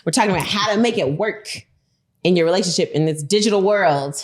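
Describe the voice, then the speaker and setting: A woman hosting a casual podcast, bubbly and excitable, laughing often. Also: fairly low pitch, a little fast. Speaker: podcast host.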